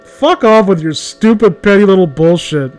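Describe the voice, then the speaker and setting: High-pitched man, blunt and sweary. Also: animated, moderate pace. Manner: profane, blunt, opinionated. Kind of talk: casual chat.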